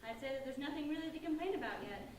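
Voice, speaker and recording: A woman's voice: high-pitched, animated, very fast. A woman playing a curious, frank sixteen-year-old big sister in a staged play reading. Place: large echoey indoor room, distant microphone. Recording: echoey room from far off, faint noise.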